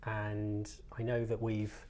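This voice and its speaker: A man's voice: on the low side, completely flat delivery, slow, darkish tone. A man hosting a casual interview, chatty and rambling, with lots of small agreeing sounds. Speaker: interview host.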